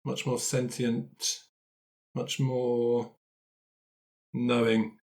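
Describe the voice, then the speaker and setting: A man's voice: medium pitch, monotone, drawn-out words, darkish tone. A man improvising a cocky, vengeful adventurer in a roleplay, speaking in a blunt, offhand, matey way. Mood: scheming. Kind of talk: improvised roleplay for an actual-play podcast.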